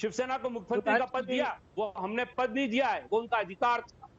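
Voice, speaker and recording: A man's voice: extremely high pitch, a little fast, fairly bright tone. A man speaking as a party spokesperson in a heated TV debate, insistently interjecting and repeating his point over muffled broadcast audio.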